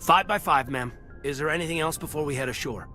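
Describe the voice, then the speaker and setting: A man playing a thoughtful, dutiful military lieutenant in a video game, measured and respectful. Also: fairly high pitch, sharp tone. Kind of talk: video game dialogue acting. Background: music.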